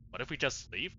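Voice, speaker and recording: A man doing expressive, emotional gameplay commentary as a streamer, casual and sweary. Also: medium pitch. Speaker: let's play streamer. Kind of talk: gameplay commentary with reading aloud of dialogue choices. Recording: dull lo-fi mic, faint noise.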